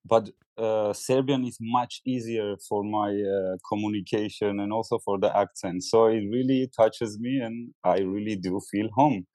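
A man in his forties, a former dancer, speaking as a podcast guest in earnest, halting English. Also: lowish voice, fairly even intonation, warm tone, no echo. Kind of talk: casual interview talk.

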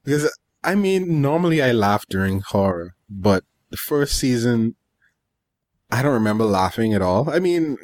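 A man in casual podcast chat, talking in a halting, thoughtful way. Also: medium pitch, very animated, darkish tone, dry recording. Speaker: podcast panelist.

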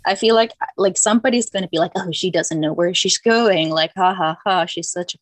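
A woman's voice: fairly low pitch, brisk, no room sound. A young woman from Finland, chatting casually as a podcast guest, self-deprecating and full of restarts. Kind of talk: casual chat.

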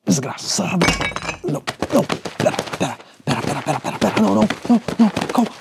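An actor playing a coarse, foul-mouthed morgue worker with a crude manner in an audio drama. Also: male voice, high-pitched, sing-song, quick pace, vocal fry, noisy background, full character voice. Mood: panicked.

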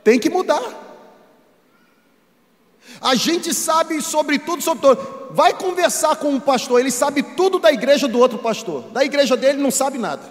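A man's voice: extremely high pitch, expressive, moderate pace. A man with a Brazilian accent preaching a sermon to a congregation, speaking emphatically and repeating phrases for rhetorical effect. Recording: echoey hall with long reverb.

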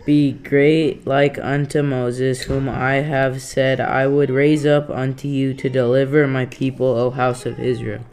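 An unhurried reader with an even, little-varied pitch reads scripture aloud carefully, sometimes stumbling over phrases. There is faint noise in the recording.

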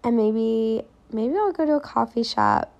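Young woman doing a solo podcast, kinda raspy gravelly voice, slightly high, chatty and thinking out loud. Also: a little slow, highs cut off. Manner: chatty and reflective, quoting her own thoughts aloud. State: voice more raspy than normal. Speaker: podcast host. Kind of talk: casual solo podcast talk.

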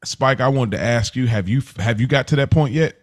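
A man's voice: mid-range voice, fairly quick, dry recording, clean studio sound. An American man hosting a casual TV discussion podcast, talking colloquially, thinking aloud and checking in with his listeners. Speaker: podcast host.

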